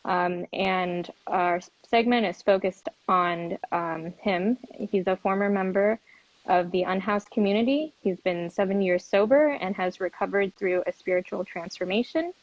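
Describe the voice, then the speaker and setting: A woman of medium pitch hosts a live interview, speaking softly and hesitantly with frequent fillers. Her voice comes through dull and dry, with no room sound, like live-stream audio. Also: a little slow, dry recording. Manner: soft-spoken, hesitant with fillers. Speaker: host.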